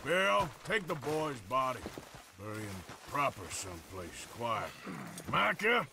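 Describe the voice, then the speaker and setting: A man playing a gruff American outlaw gunslinger, terse and blunt, in a cinematic western game. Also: medium pitch, sing-song, measured pace, background noise. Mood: grim.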